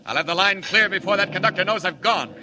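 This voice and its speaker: An actor playing a heroic superhero disguised as a reporter in a dramatic, exclamatory 1940s radio style. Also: male voice, sits high, fast, close to an everyday voice. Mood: jaunty, determined. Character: heroic, confident male superhero posing as reporter. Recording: very noisy old radio broadcast recording.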